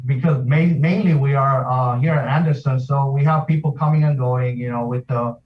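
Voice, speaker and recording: A man's voice: mid-range voice, steady tone, moderate pace, far from the mic, narrow, tinny audio. A man from South America who is president of a heritage group, speaking steadily with many hesitations in a remote interview. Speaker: association president, military sergeant.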